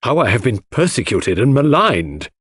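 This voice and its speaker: A man's voice: medium pitch, big pitch swings, moderate pace, a bit bright, husky, dry recording, studio quality, pitched above the performer's own voice. A man voicing a sullen, wronged suspect who murmurs with an aggrieved manner in a mystery audiobook.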